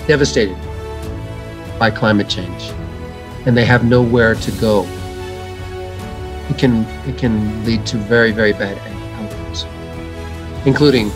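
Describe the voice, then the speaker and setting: A middle-aged man, an environmental educator, giving measured, earnest answers in a video call interview. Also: mid-range voice. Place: home room.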